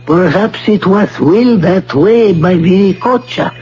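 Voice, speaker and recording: An actor playing a solemn, devout Incan temple priest who speaks formally and reverently in an old-time radio drama. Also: male voice, sits high, a little mellow. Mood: calm, solemn. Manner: slow, formal, reverent speech. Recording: muffled, noisy vintage radio broadcast.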